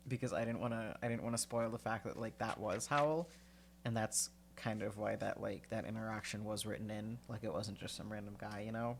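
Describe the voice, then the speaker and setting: A man's voice: on the low side, steady tone, fairly quick, vocal fry, a little hiss or hum. A man reading a novel aloud on a livestream, stuffed up from a cold, breaking off for casual, chatty asides full of fillers. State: congested from a cold.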